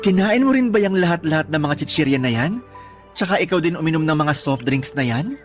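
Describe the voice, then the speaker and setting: A woman's voice: low voice, lively intonation, brisk, faint music behind. An actress playing a blunt, exasperated young woman who scolds and questions her friend in a Filipino radio drama. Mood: nagging.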